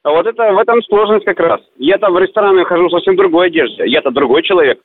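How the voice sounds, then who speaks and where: A man from Ukraine who works as a celebrity lookalike talks over the phone, explaining patiently. His voice sits fairly high and moves quickly. The line is muffled, with faint music underneath. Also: no echo. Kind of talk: phone conversation.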